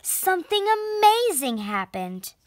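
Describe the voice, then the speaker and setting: Unhurried high-voiced young girl reading aloud. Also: sing-song. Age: child, preteen girl. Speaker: storybook reader and channel host. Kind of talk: children's picture book read-aloud. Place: indoor room at home, on a sofa.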